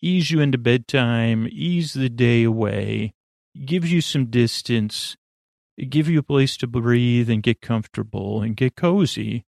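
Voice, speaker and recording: A man hosting a podcast with a rambling bedtime monologue, halting and meandering, often trailing off mid-word. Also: mid-range voice, vocal fry, darkish tone, no room sound, clean studio sound. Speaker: podcast host.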